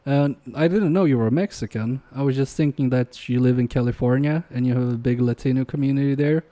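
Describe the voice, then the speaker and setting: Chatty man, mellow voice, Polish native. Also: mid-range voice, brisk. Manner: chatty, reads chat aloud, trails off muttering while working. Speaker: livestream repair host. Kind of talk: casual live-stream chat while repairing.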